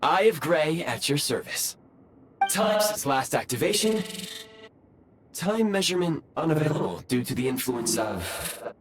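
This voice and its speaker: A man's voice: on the high side, very animated, noisy background. A man voicing an earnest, formal, newly awakened ancient robot guide in a video game, with precise, dutiful phrasing. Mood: formal, procedural. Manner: formal, precise phrasing with halting self-corrections. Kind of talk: scripted game voice acting. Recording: background music under the voice.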